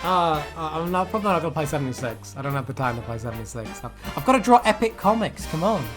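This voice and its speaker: Woman, lively intonation, casual banter, giggly and sweary, co-host commentary, light music behind. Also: low voice, fast talker. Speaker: co-host reacting to fan predictions.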